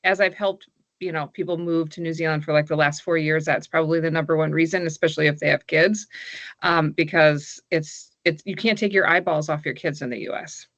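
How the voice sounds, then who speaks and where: A woman's voice: deep voice, steady tone, fairly quick, a bit bright, dry recording. A middle-aged American woman talking casually as a webinar guest, chatty and enthusiastic, full of "like" and "you know". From United States. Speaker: guest, migration influencer. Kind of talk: casual chat.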